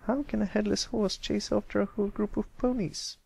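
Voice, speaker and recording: A man voicing a sweet, excitable and slightly timid little pony filly in a storybook reading. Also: high voice, fairly quick, creaky, breathy edge, voice pushed up, heavily acted, rough recording. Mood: questioning.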